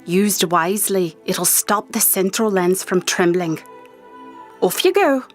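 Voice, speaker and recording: A woman's voice: mid-range voice, animated, slow, faint music behind. A woman playing a brusque, commanding older magical mentor who scolds in an audio drama. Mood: brusque, scolding.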